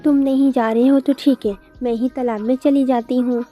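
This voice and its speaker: A woman's voice: high-pitched, steady tone, normal speed, dark tone, soft background music, lightly acted. Greedy, nagging village wife played by a woman. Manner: scolding, demanding.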